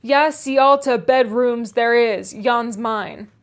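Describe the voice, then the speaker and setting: A woman's voice: a little high, takes it slowly. A woman voicing a grumbling, sneering old male servant, drawling a thick rustic dialect in a storybook reading. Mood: mocking.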